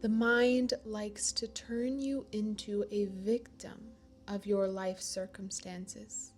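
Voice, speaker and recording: Woman doing a guided meditation, really slow n gentle, soft music behind her. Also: mid-range voice, little pitch movement. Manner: slow, gentle, soothing delivery with long pauses.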